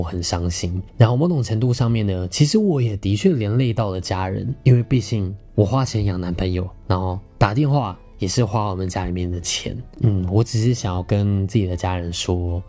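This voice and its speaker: Male podcast host, Taiwanese Mandarin accent, soft dark tone, conversational personal storytelling over faint music. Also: lowish voice. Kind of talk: personal storytelling and relationship advice to listeners.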